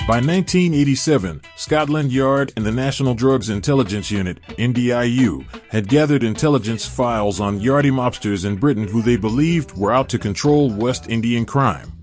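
A man giving a steady, measured scripted documentary narration. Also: medium pitch, faint music behind.

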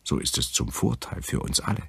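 A man voicing an easygoing, friendly bear-eared wanderer in a fairy-tale audiobook, husky and companionable. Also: a little fast, a bit bright, no echo, close to an everyday voice.

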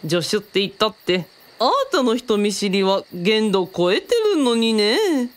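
A woman's voice: medium pitch, lively intonation, a little slow, lightly acted. A woman playing a self-assured, worldly female mentor who talks in a teasing, feminine way in a radio drama.

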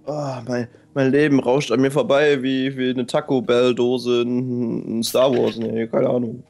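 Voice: medium pitch, level tone, a little slow, gravelly, darkish tone. A gamer bantering casually during play as co-host of a gaming video.

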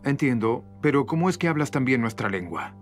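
A man plays a reserved foreign envoy who speaks in a businesslike way. His voice is level and mid-pitched. Faint music sits underneath. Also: big pitch swings, brisk, close to an everyday voice. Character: male Venetian envoy, reserved and calculating. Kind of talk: Spanish dub of a historical series.

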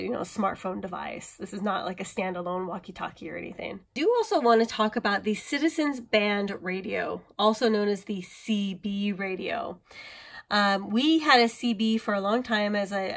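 American woman explaining casually, mid-range voice. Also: medium pitch. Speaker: host of a prepping channel. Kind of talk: informal explainer to viewers.

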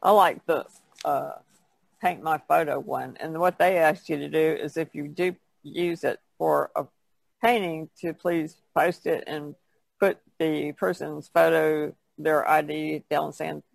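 Guy with a super gravelly fry, slightly high voice, plain casual podcast chat. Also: steady tone, unhurried, no echo. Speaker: podcast panelist, artist.

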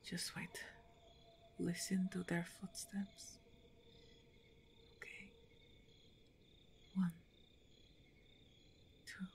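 An actress voices a strong half-orc woman, wounded and weary. She speaks very slowly in a mellow, breathy voice that often sinks to a whisper. She is halting and weak, wary but wry, as in an ASMR audio drama. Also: on the low side, heavily acted. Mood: pained, anxious. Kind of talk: ASMR roleplay acting.

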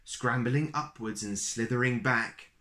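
Slow-paced man reading to children. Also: medium pitch. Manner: expressive, slow, deliberate phrasing for young children. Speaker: storyteller and host of a children's story channel. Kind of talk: children's picture-book read-aloud. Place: small plain room indoors.

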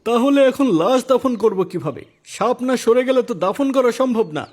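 A man voicing an excitable, anxious village gravedigger in cartoon dialogue, exclaiming urgently. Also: very high-pitched, brisk. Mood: worried.

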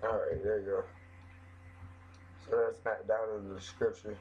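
A young man with a cold and a hoarse voice, rambling through casual commentary while modding a game, often restarting his sentences. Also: on the low side, level tone, moderate pace. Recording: dull lo-fi mic with faint noise.

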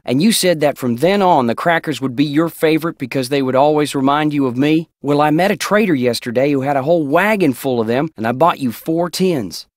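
A lovestruck Old West outlaw played by a man for a video game, with a fairly high male voice. He reads his letters in an earnest, folksy, deliberate manner. The sound is dry and close. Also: no echo, close to an everyday voice. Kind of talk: acted reading of love letters.

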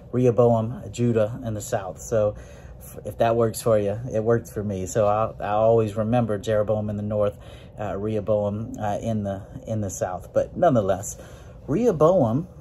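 A man of about forty, a preacher, giving a casual Bible lesson to camera from a small room, with many fillers and rising pitch when he stresses a point. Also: warm tone, slight background noise. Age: 40s. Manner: folksy, many fillers, rises in pitch on emphasis. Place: small room with green walls. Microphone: phone or webcam at close range, looking up.

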